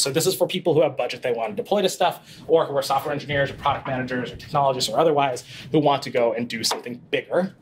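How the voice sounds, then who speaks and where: A man's voice: mid-range voice, slight background noise. Man giving a casual tech talk. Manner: casual, frequent fillers, self-correcting asides. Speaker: conference speaker. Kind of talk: live tech presentation. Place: small conference room. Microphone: handheld mic, far camera.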